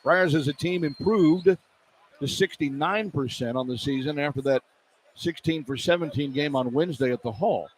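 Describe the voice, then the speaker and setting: A man's voice: fairly high pitch, moderate pace. A man calling live basketball play-by-play on the radio from an indoor arena. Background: clear arena noise.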